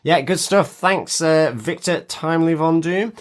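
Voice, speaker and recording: A man's voice: fairly high pitch, measured pace. A man co-hosting a podcast, chatting agreeably and casually about TV and reading out listener feedback. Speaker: podcast co-host.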